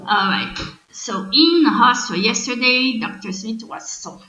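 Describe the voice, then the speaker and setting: A woman's voice: fairly high pitch, expressive, measured pace, loud music behind the voice. A woman with a Brazilian accent, a native Portuguese speaker, teaching an English lesson and spelling out sentences word by word in an explanatory way. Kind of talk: bilingual online grammar lesson. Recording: roomy, muffled lo-fi audio with faint noise.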